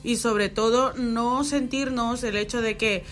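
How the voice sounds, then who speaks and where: A woman's voice: a little high, steady tone, measured pace. Woman host, affirming. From El Salvador. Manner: reflective, frequent affirming backchannels. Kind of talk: conversational interview.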